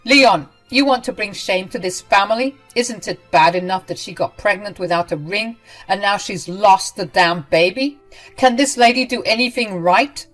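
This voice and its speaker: A woman voicing a cruel, scornful older mother-in-law who yells and sneers. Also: normal speed, faint music behind, close to an everyday voice, poor audio. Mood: contemptuous. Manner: shrill, contemptuous, yelling.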